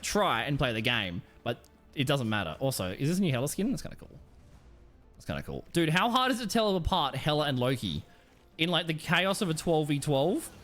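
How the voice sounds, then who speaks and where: A man's voice: a little high, a bit bright. A man streaming a game live, chatting casually with viewers and giving rambling, blunt commentary full of slang and some swearing.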